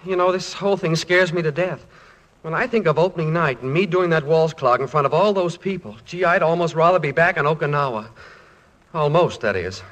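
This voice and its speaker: A man's voice: a little high, brisk, a bit bright, lightly acted. A young man playing an earnest, bashful college student with a boyish, polite manner in 1940s radio comedy style. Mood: grateful, eager. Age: young adult. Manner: boyish, polite, eager, apologetic.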